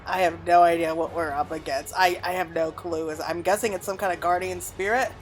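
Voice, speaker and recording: A woman's voice: on the low side, fairly even intonation, fairly quick. A woman giving casual gameplay commentary, rambling and thinking aloud as she plays. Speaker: gamer, let's play host. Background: game music.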